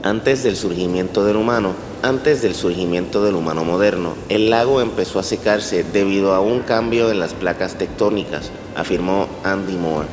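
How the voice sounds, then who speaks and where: Man, low-pitched, scripted documentary narrator, echoey recording, clearly noisy background. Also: moderate pace, few pauses. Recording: echoey reverberant clip with rumbly noise.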